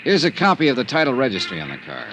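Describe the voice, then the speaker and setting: An actor playing a cool, dogged insurance investigator in a vintage radio detective drama, dry, clipped and pointed in delivery. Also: male voice, mid-range voice, wildly swooping pitch, quick pace, background noise. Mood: brisk questioning. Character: cool, wry, dogged male insurance investigator narrating his case. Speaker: lead actor and narrator of detective serial. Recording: old-time radio recording with music.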